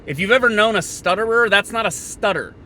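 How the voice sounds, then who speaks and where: A man hosting a podcast, thinking out loud in a sardonic, opinionated political monologue that moves between halting pauses and bursts. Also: sits high, slight background noise. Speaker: podcast host.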